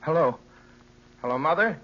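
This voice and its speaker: A man's voice: on the high side, lively intonation, moderate pace, natural voice. An actor playing a frantic, haunted young driver telling his story with dramatic intensity in a 1940s radio play. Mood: panicked. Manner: intense, dramatic narration, breaking into whispers. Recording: noisy muffled 1940s radio broadcast recording.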